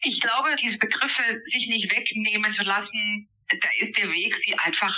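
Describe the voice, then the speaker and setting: A woman from eastern Germany, an author answering interview questions thoughtfully, pausing and restarting as she searches for words. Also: mid-range voice, steady tone, bright, forceful tone, dull audio.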